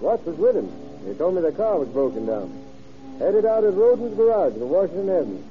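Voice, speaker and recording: A man's voice: on the high side, big pitch swings, a little fast. An actor playing an amiable small-town sheriff who talks informally and mutters as he checks records, in old radio drama style. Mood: casual, helpful. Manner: folksy, muttering as he searches. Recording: dull vintage radio, music behind.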